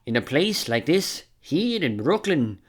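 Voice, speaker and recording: A woman's voice: low-pitched, big pitch swings, unhurried, husky, exaggerated voice. A woman voicing an exasperated, responsible younger brother who scolds earnestly but cares, in a cartoon-style audio play. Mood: earnest, weary.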